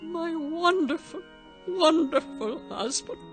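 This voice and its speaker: Old wife, very slow, tremulous, tender, sorrowful, radio drama, faint music. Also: female voice, very high voice, lively intonation, heavily acted. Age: older.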